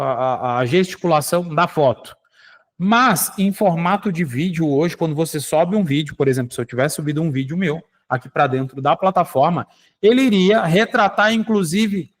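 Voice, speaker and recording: Man explaining an AI tool live. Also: on the high side. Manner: explanatory, addresses listeners directly with frequent "tá?" and "né?". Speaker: radio show host. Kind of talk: live software demonstration.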